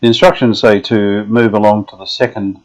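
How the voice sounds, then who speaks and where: A man restoring an old radio, thinking aloud in a halting, casual way with many pauses and fillers. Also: on the low side, steady tone. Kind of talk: hands-on workshop demonstration.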